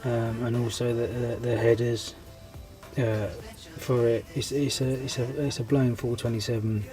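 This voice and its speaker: A man's voice: medium pitch, level tone, measured pace, over music. Bunged-up man rambling casually. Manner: hesitant, many ums and restarts, friendly. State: congested with a cold, losing his voice. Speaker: scale model hobby channel host. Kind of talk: casual rambling vlog update.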